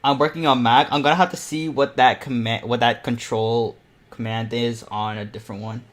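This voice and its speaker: A young man hosting a live online art panel, chatting casually and excitably to viewers as he paints. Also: medium pitch, normal speed. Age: teens or young adult. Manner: informal, excitable, giggly.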